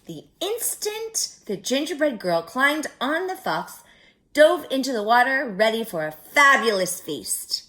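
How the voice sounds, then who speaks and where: A woman reading a story aloud to young children like a teacher, expressively, pausing to add simple explanatory comments. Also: sits high, big pitch swings, bright, forceful tone, low-quality recording.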